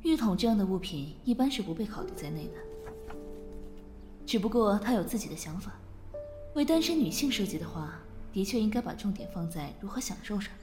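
An actress playing a composed, caring female company director in an audio drama, reserved and decisive, speaking in a calm, controlled way. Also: medium pitch, brisk, faint music behind, natural voice. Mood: thoughtful. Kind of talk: Mandarin audio drama acting.

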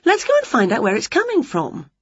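A woman voicing a brash, eager, cheerful witch in a children's storybook, exclaiming with boisterous excitement. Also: a little high, a little fast, husky, no echo, lo-fi sound, voice pushed up, heavily acted. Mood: curious.

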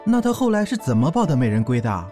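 A man's voice: mid-range voice, big pitch swings, fast talker, mellow, faint music behind, close to an everyday voice. A man playing a lovesick, indecisive young orc rogue, a hesitant and earnest little brother, in an audio drama. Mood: curious.

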